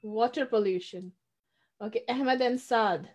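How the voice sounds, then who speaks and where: Brisk woman teacher on a video call. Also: on the high side. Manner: brisk and encouraging, calling names and repeating answers. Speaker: teacher of an online children's class. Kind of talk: leading a class roll call on a video call. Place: small room at home, brick-pattern wall. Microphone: video call, webcam.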